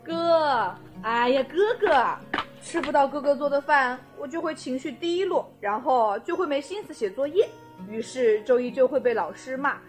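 A woman's voice: sits high, animated, normal speed. A woman acting a naive, cheeky teenage little sister in an audio drama, wheedling with earnest logic. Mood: wheedling, pitiful. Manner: wheedling, earnest absurd reasoning. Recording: music playing behind.

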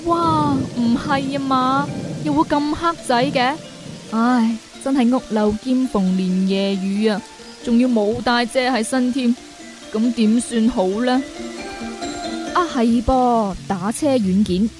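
Overworked young female office worker, radio drama, higher pitch, grumbling and sighing to herself. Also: takes it slowly, music in the background. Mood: dismayed, exasperated. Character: weary, grumbling young female office clerk working overtime. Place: radio studio.

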